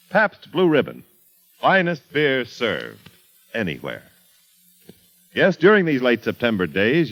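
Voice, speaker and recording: A man announcing and reading a beer ad on a 1940s radio show, smooth and persuasive. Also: mid-range voice, poor audio.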